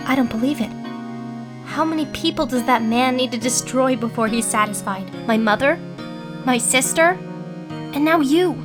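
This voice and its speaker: A woman's voice: sits high, normal speed, lightly acted. A woman playing a plucky, impulsive, guileless teenage girl in a video game dub. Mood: indignant. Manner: eager, indignant, guileless. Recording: clear music behind the voice.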